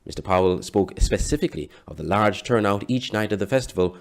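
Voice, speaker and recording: A man delivers a scripted news report. His voice sits on the low side, and he reads as a reporter narrating a story. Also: lively intonation, brisk.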